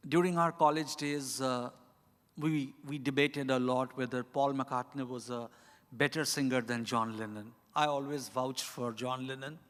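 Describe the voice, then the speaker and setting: A middle-aged man with an Indian accent, an author giving reflective, deliberate, halting answers with emphasis on key words. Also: medium pitch, steady tone, a bit bright. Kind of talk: reflective spoken answer at a live literary event. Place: large indoor event hall. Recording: echoey hall with long reverb.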